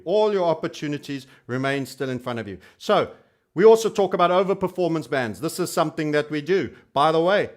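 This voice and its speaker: High-pitched man doing emphatic market commentary. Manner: emphatic, repeats phrases for stress; cheeky, colourful storyteller. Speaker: YouTube trading host.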